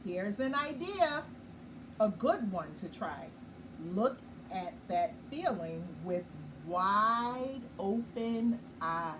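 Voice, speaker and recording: A woman hosting a nightly children's story time, reading a picture book slowly and gently and pausing to explain it. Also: mid-range voice, big pitch swings. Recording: far from the mic with clear background noise.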